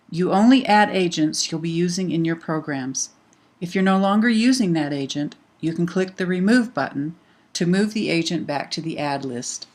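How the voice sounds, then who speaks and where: A woman reads a scripted software tutorial, explaining each step clearly as an instructional narrator. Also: sits low.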